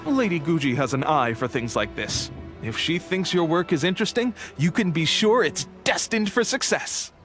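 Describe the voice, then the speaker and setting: A man's voice: on the high side, expressive, moderate pace, natural voice. A man voicing an affable, deferential and chatty agent in a video game. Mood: admiring. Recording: music behind the voice.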